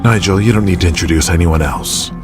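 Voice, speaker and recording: An actor playing an arrogant, menacing wrestling mogul who sneers and domineers in an audio drama. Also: male voice, low voice, brisk, over music, lightly acted. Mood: contemptuous. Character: arrogant, menacing, lecherous male wrestling mogul, cruelly dismissive.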